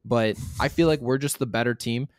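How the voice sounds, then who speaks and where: A man's voice: mid-range voice, brisk, dry recording, clean studio sound. A man in his thirties co-hosting a casual sports talk show, speaking bluntly and emphatically, often repeating himself to make a point. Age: 30s.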